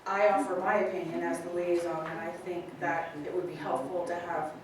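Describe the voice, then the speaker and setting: Lady liaison at a public meeting, polite n formal, really boomy echo, background noise. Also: little pitch movement, fairly quick. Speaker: committee liaison. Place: indoor public meeting room. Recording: distant mic, very echoey noisy meeting room.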